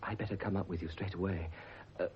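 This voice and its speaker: An actor playing a courteous, protective young country-house gentleman in a radio drama, with an upper-class English accent. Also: low voice, steady tone, quick pace, breathy edge. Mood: concerned. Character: well-bred young son of a country house, concerned, protective. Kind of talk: British radio drama acting. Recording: noisy, dull lo-fi radio recording.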